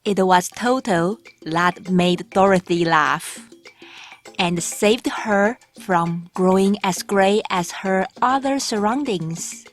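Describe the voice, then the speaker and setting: A woman narrating a children's storybook from a script in a measured, deliberate read-aloud delivery. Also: medium pitch. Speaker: audiobook narrator. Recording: music bed under the voice. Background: background music.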